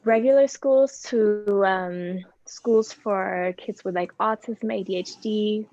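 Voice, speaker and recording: A woman from Denmark and Germany, a language teacher, introducing herself in a chatty, relaxed way over a video call. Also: mid-range voice, slow. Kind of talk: casual self-introduction. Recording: dry, dull video call audio.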